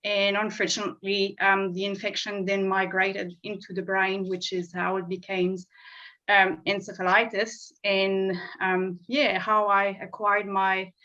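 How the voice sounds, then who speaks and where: A woman, a guest on a video call, telling her story hesitantly with many pauses. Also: mid-range voice, level tone, sharp tone. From Quebec, Canada. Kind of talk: personal account. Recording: dull lo-fi call audio.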